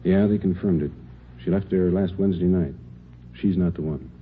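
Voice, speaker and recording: An actor playing a terse, no-nonsense police officer giving clipped reports in a 1950s radio drama. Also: male voice, deep voice, normal speed, natural voice. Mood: matter-of-fact. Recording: tinny, faintly noisy old radio recording.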